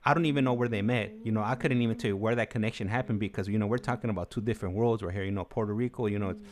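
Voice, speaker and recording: A man hosting a casual livestream, talking haltingly and repeating himself, with many "you knows". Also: on the low side, darkish tone. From United States, Los Angeles area. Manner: halting, repetitive, peppered with "you know". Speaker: livestream host.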